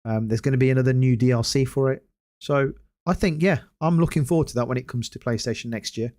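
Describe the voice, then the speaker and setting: Hoarse man, podcast host, rambling and hesitant, lots of ums, mid-pitched voice. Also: quick pace, a little mellow. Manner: rambling, hesitant, many restarts and fillers. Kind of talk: casual solo livestream podcast.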